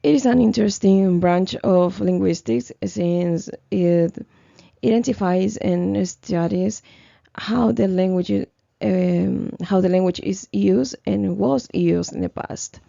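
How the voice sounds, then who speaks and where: Sick young woman, gravelly and slow. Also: mid-range voice, mellow, no room sound, highs cut off. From Ecuador. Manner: hesitant, many fillers and restarts. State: sick, slightly hoarse. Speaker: student presenter. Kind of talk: class presentation, read from notes.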